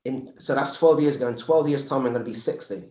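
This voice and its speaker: A man's voice: mid-range voice, fast talker, dark tone. Englishman in his forties, echoey room. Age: 40s. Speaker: podcast guest, MMA coach and fighter. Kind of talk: casual chat.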